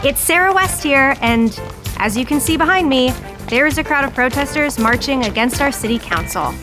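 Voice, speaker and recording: A woman's voice: high voice, expressive, normal speed. A woman playing a chatty, opinionated live news personality in an audio drama. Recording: music bed under the voice.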